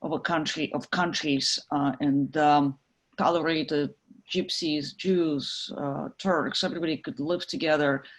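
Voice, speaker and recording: A middle-aged woman from Ukraine, a guest in an interview, talking with many pauses, fillers and restarts. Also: low voice, fairly even intonation. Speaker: guest, tech executive. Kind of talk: conversational interview.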